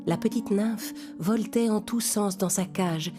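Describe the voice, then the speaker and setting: A woman's voice: medium pitch, unhurried, music in the background. A woman narrating a scripted bedtime story for young children.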